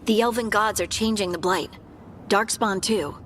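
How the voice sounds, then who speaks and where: A woman's voice: mid-range voice, normal speed, a bit bright, slight background noise, close to an everyday voice. An actress playing a grave, concerned female companion in a fantasy video game, speaking in short, serious phrases.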